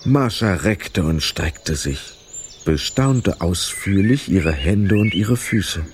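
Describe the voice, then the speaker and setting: A man narrating a children's radio play as a scripted story, with expressive, dramatic delivery. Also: sits low, slow, background noise.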